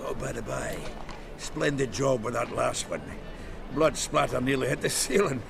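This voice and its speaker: Gruff jovial game dwarf, lots of gravel, blunt n hearty. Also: male voice, medium pitch, normal speed, over music. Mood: jovial banter. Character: gruff, jovial, foul-mouthed male dwarf merchant and fighter. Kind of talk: video game character acting.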